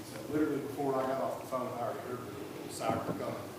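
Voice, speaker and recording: Man, loud voice, halting storyteller, roomy echo, noisy meeting room. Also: medium pitch, fairly even intonation. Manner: halting, many fillers and restarts. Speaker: husband telling his story at a meeting. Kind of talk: personal account at a public meeting. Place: county board meeting room. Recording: echoey room, mic at a distance, noisy.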